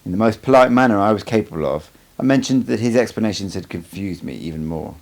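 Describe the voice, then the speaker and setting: A man's voice: fairly low pitch, animated, fast, vocal fry. A man reading a first-person story aloud as scripted audiobook narration. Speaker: amateur audiobook reader.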